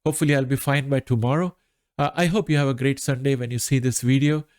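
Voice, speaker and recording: A man's voice: medium pitch, fairly even intonation, darkish tone, smooth, no echo. Nasal middle-aged man, dry close sound. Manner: measured, frequent "uh" pauses. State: recovering from allergy. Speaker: finance channel host. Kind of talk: solo market commentary.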